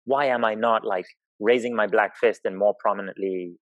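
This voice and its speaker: A man from Zimbabwe speaking reflectively in conversation, thoughtful and halting as he searches for words. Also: lowish voice, fairly even intonation, dry recording, dull audio. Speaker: interview guest, professional climbing route setter.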